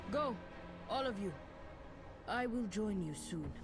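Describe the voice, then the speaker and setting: A grave female guardian of the deep earth in a fantasy game. She speaks slowly and ceremonially at a middling pitch, with music running underneath. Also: expressive. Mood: firm. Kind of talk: fantasy game character acting.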